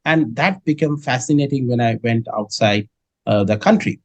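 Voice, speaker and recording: A middle-aged man from Kolkata with an Indian English accent, answering interview questions in a measured way with many filler sounds. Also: medium pitch, no echo. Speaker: guest, dairy business head.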